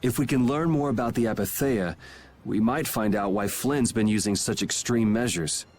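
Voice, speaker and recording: Man acting a cool, low-voiced young swordsman. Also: moderate pace, a little mellow, a little hiss or hum, close to an everyday voice. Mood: calm, wry. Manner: laid-back, dry, matter-of-fact. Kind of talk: video game dubbing.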